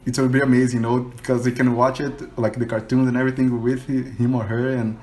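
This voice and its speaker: A man's voice: medium pitch, flat delivery, fast, darkish tone. A man from Brazil with a Brazilian Portuguese accent, a Portuguese native speaker, chatting casually in English on a video call and often saying "you know". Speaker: English teacher and learner. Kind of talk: casual conversation practice call.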